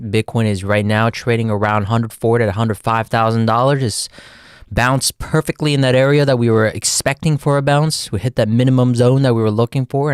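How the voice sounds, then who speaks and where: A man with a Texan accent hosting a livestream, giving casual, folksy chart commentary and often calling out to "ladies and gentlemen". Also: lowish voice, clean tone, dry recording, studio quality. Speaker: livestream host, market analyst.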